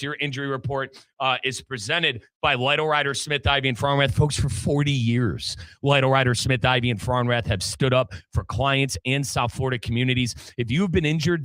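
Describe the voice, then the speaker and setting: Bright, punchy male sports radio host. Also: on the high side, dry recording. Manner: chatty, emphatic repetition, addresses listeners as folks. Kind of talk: sports talk show hosting with sponsor reads.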